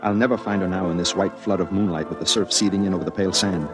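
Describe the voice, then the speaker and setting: An actor as a deep-voiced, earnest, lonely reporter. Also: male voice, fairly even intonation, fast talker, close to an everyday voice. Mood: somber, wistful. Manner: solemn, dramatic literary delivery. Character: earnest lonely male reporter narrating and pleading. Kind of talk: old-time radio drama acting. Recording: vintage radio drama with music behind.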